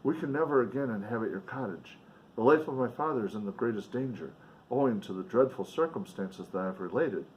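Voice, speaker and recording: A man's voice: mid-range voice, animated, brisk, darkish tone, slight background noise, lightly acted, poor audio. A man voicing a distressed, resolute young cottager in a formal, pleading book-reading delivery. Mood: anguished, resolute.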